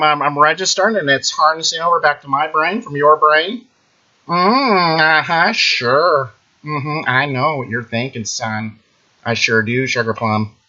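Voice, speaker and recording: A man playing a smug, boastful internet ranter who claims to read minds, taunting the listener in a mocking sing-song and tagging lines with drawn-out "mm-hmm"s. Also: a little high, sharp tone, lo-fi sound. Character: smug boastful male internet ranter, self-proclaimed mind-reader. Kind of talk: mocking satirical monologue.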